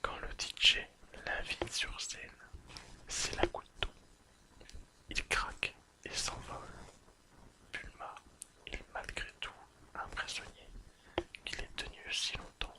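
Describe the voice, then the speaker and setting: Casting: ASMR reader, whispers throughout, very slow and soothing delivery. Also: poor audio. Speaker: ASMR creator. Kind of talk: whispered ASMR reading of an episode summary.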